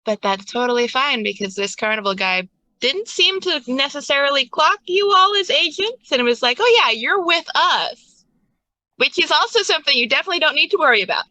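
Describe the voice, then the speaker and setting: A woman's voice: sits high, animated, brisk, bright, forceful tone, no room sound, low-quality recording. A woman game master giving a casual, playful and wryly hinting recap of a tabletop game on a livestream.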